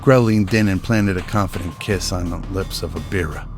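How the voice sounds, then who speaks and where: Male narrator for radio drama: deep voice, dramatic scripted storytelling over a faint music bed. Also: moderate pace.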